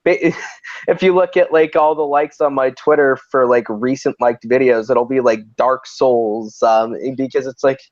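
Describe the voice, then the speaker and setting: A man's voice: medium pitch, steady tone, unhurried, dry recording, highs cut off. A man hosting a casual livestream chat, rambling with many restarts and fillers, in an androgynous voice. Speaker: host.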